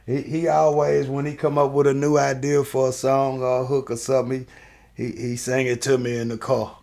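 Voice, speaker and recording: Gravelly man reminiscing casually. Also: mid-range voice, flat delivery, fairly quick, warm tone. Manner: informal, slangy. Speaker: interview guest.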